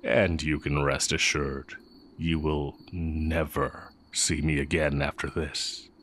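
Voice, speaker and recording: A man acting as a heretic sorcerer and former teacher with an extremely low voice. He speaks slowly and deliberately, leaving long pauses. His manner is sly, brooding and tender, played close and intimate as in a fantasy audio roleplay. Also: little pitch movement, fairly bright tone, a little hiss or hum, heavily acted. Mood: coaxing, teasing. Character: exiled heretic male magic teacher, sly, brooding, tender dark mage.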